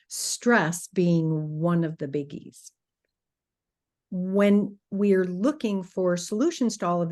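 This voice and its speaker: A woman hosting an interview, who introduces her guest and asks questions in an enthusiastic, unhurried way. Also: medium pitch, darkish tone. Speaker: interview host.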